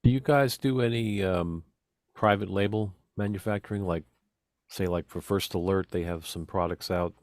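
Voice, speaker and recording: A man's voice: sits low, moderate pace, darkish tone, no echo, studio quality. A man asking questions casually as a participant in a meeting.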